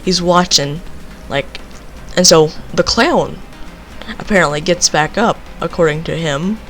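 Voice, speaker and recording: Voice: medium pitch, unhurried, fairly bright tone, soft background music. A young person telling a casual, rambling story, leaning on filler phrases. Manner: rambling, repeats filler phrases like "basically" and "you know".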